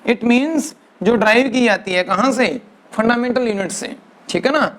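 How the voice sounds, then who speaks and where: A male physics teacher giving a lecture, mixing English into Hindi, dictating definitions slowly word by word and often checking with "right na". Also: extremely high pitch, a bit bright, slight background noise. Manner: mixes English into Hindi, keeps asking "right na". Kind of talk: classroom lecture.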